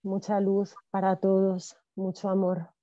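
Soft-spoken woman on a video call. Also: medium pitch, monotone, measured pace, no room sound. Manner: soft, reflective, with fillers and restarts. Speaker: guest speaker. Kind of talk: conversational talk. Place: home room with wood ceiling. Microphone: video call with earphones. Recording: slightly dull video call audio.

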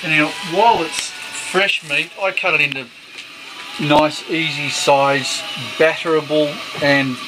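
A man's voice: a little high, fairly even intonation, unhurried. A middle-aged man giving casual, matter-of-fact cooking instructions in a small boat galley. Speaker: co-host doing the frying. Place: small sailboat galley indoors. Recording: clear frying and boat noise behind.

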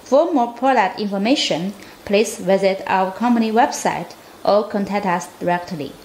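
A woman's voice: mid-range voice, moderate pace, a little mellow. A woman reading a scripted product demonstration in a careful, slightly stilted manner. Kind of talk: scripted product demo narration. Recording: roomy echo, faint background noise.

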